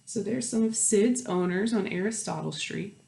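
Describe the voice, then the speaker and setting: A woman reading a picture book aloud to young children, expressive, with questions and asides to her listeners. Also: medium pitch, normal speed, creaky. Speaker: children's storytime host and story reader. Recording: roomy, echoey indoor sound.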